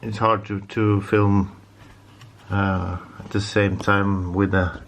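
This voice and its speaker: A Norwegian man speaking English as a second language, talking casually while working on a repair, with halting speech full of restarts and fillers. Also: fairly low pitch, level tone, gravelly, slight background noise. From Norway. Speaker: DIY repair vlogger.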